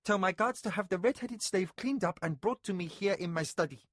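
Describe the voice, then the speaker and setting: A man's voice: sits high, a little fast, a bit bright, no echo, studio quality, voice pushed up. A man voicing a stern noblewoman who gives curt orders to her servants. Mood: commanding. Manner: curt, commanding. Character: stern noblewoman mistress of an estate.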